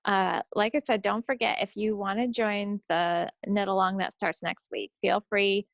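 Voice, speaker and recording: A woman's voice: medium pitch, steady tone, moderate pace, gravelly, dry recording. An American woman co-hosting a podcast in casual chat, on a slightly dull recording. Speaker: podcast co-host.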